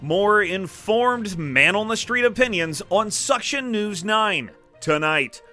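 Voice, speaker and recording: A man's voice: high voice, animated, slow pace, music in the background. A man playing a pushy, self-important field reporter with a punchy newscaster delivery in a parody news report. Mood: brisk, pushy.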